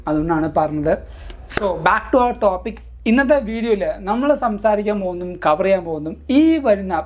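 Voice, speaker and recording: A man's voice: sits high, a little mellow. A man from Kerala with a Malayali accent hosts a tech explainer, talking conversationally to viewers and mixing in English terms. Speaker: tech video host.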